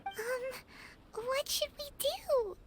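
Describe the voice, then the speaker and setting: An actress playing a tiny, childlike sprite companion in a video game, candid, chatty and expressive. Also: female voice, extremely high pitch, very slow, slight background noise, heavily acted. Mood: uneasy. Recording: loud music behind the voice.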